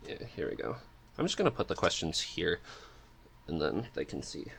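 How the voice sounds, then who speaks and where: A man's voice: low voice, huge pitch swings, moderate pace, gravelly, fairly bright tone, slight background noise. A man hosting a casual interview chat, speaking in a hesitant, agreeable and informal way as he goes. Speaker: podcast co-host reading questions.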